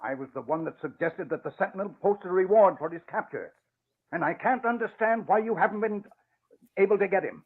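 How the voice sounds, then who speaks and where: Husky, gruff old father. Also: sits high, animated, fairly quick. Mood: stern, questioning. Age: older. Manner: blustery, demanding, old-fashioned exclamations. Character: gruff, impatient elderly newspaper-owner father. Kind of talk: old-time radio drama acting. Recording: muffled, band-limited vintage radio recording.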